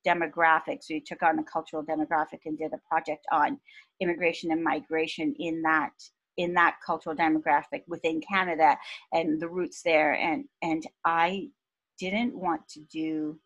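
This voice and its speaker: A woman in her fifties, from Pakistan, talking thoughtfully as a podcast guest with soft laughs. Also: low voice, level tone, moderate pace, lo-fi sound. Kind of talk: reflective interview talk.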